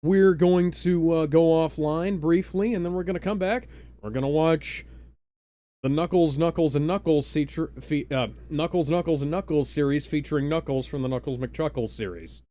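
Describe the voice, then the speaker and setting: Male stream host, slightly high register, hammy comic reader and chatty, exclaiming presenter. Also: normal speed, mellow. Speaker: livestream host. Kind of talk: dramatic comic read-aloud and casual livestream banter.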